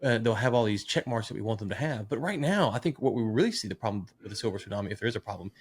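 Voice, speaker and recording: A man's voice: on the low side, animated, racing, breathy edge. A man in his late thirties talking conversationally as a business podcast host, animatedly acting out what other people say. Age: late 30s.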